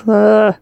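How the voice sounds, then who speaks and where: A woman hosting a bedtime podcast from her bed, exhausted and losing her voice, talking softly and drowsily in a rambling half-whisper. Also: medium pitch, gravelly, dry recording, highs cut off. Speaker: podcast host. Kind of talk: sleepy bedtime monologue. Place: lying in bed at home.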